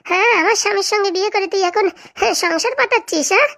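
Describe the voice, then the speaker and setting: A high, creaky-voiced jilted wife from a comedy cartoon, played by a woman. She rants fast and abusively, scheming revenge and gloating to herself. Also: bright, forceful tone, exaggerated voice. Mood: gloating, furious. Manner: ranting, gloating, talking to herself.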